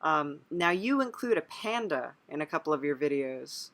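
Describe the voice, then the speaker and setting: A woman's voice: low-pitched, animated, normal speed, a bit bright. A woman hosting a casual, conversational interview, affirming and encouraging toward the guest. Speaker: interviewer, host.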